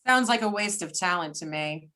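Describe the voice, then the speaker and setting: A woman's voice: fairly low pitch, animated, moderate pace, bright, forceful tone. A woman playing a skeptical, level-headed field agent, reading in an amateur readers theater over a video call. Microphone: video or conference call.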